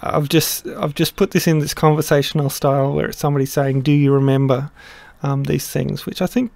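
A middle-aged man explaining his song translation in a casual, halting way, with many pauses and restarts. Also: fairly high pitch, steady tone, creaky, a little mellow, no echo. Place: small room indoors.

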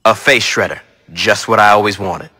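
An actor playing a gruff young gang leader in a video game, curt, dry and sarcastic. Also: male voice, lowish voice, moderate pace, bright, forceful tone, long reverb tail, soft background music. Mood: sardonic.

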